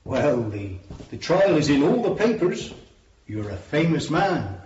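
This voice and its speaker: A man's voice: on the high side, sing-song, measured pace. An actor playing a candid male visitor talking to a prisoner in a radio drama. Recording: distant, echoey, muffled, faintly noisy.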